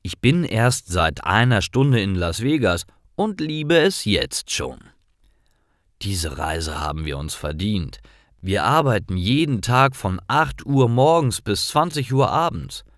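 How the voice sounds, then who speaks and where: A man narrating scripted stories for language learners, reading simple sentences clearly. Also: lowish voice, very animated, slow, fairly bright tone, dry recording, clean studio sound.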